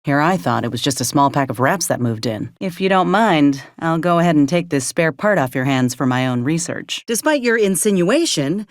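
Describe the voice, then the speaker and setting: Low-voiced, stubborn frontier woman soldier. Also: dry recording. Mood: dry, steady. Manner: folksy, dry, blunt frontier phrasing. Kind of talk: video game character dialogue.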